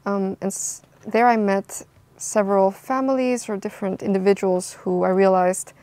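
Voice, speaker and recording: A woman's voice: mid-range voice, fairly even intonation, normal speed, mellow, no echo. A young woman from Costa Rica, an anthropologist answering interview questions in a thoughtful way, with frequent ums and restarts. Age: young adult.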